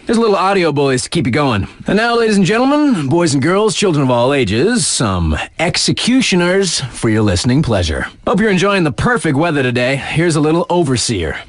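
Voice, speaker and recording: A man's voice: fairly high pitch, no room sound, clean studio sound. An actor playing a laid-back, cocky young male radio DJ in a snowboarding video game, introducing songs in breezy slang with wry asides. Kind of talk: casual radio DJ patter, song introductions. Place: dry studio.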